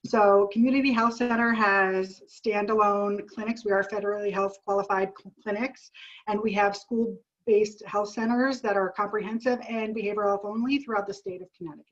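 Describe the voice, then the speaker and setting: A friendly, chatty woman talks over a video call, her voice a little stuffy as she gets over a sinus illness. The call audio is dull and lo-fi, and she speaks at an everyday middle pitch. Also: steady tone, a little fast. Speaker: art therapist and clinical director.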